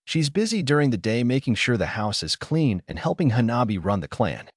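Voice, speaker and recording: A man's voice: mid-range voice, big pitch swings, fairly quick, no echo, clean studio sound. A man reading a fan fiction story aloud in the first person, earnest and reflective. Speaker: story narrator.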